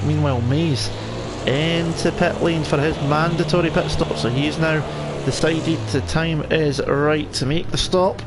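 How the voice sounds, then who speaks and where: A man with a Scottish accent commentating live on an online car race, chatting conversationally with viewers in friendly asides. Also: fairly high pitch. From Scotland. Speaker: esports racing commentator. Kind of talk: live sim racing commentary mixed with casual chat to viewers. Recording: loud race car engine noise. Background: racing game car engines.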